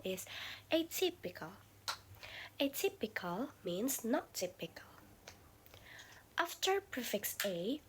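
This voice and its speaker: A woman giving a class presentation, explaining word parts slowly and carefully, sometimes dropping to a soft whispery delivery. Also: mid-range voice, slight background noise. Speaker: student presenter.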